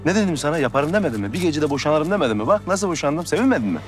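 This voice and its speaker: Brash, high-pitched, fast-talking suitor. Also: male voice, very animated, faint music behind. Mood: proudly insistent. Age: 30s. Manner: pushy, emphatic, colloquial. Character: brash, insistent suitor in his thirties, impulsive and cocky. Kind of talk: television drama acting. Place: outdoors on a city street.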